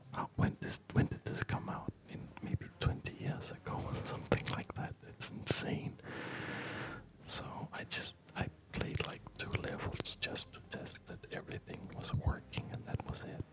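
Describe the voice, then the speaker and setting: Slow, whispering ASMR game host. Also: poor audio. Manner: hesitant, unhurried, softly rambling. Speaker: host playing a strategy game.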